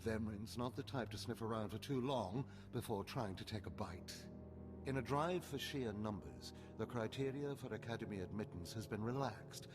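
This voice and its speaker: Actor as low-voiced, stern scheming overseer. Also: male voice, close to an everyday voice. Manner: grave, deliberate, commanding. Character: stern, scheming older male Sith overseer and father, controlled. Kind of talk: video game voice acting.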